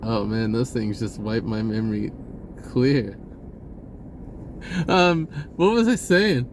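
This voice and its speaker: Slow-talking man, rambling casually over background noise. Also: lowish voice. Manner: halting, drifting and informal. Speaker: spiritual vlogger. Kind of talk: casual rambling monologue on spirituality.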